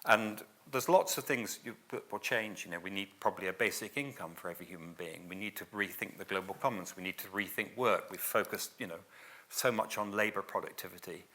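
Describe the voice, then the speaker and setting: Male panel speaker, lowish bright voice, stirring, rallying delivery. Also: a little fast. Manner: rallying, repeats "we need" and "you know". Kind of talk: passionate panel talk. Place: event hall with audience.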